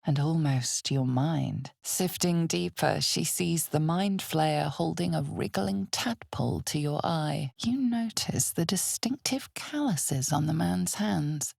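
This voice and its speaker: A woman playing the narrator of a dark fantasy game, solemn and theatrical, with measured, deliberate phrasing and a hushed, breathy edge. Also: low-pitched, no echo, clean studio sound, full character voice. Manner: measured, deliberate, theatrical delivery with weighted pauses.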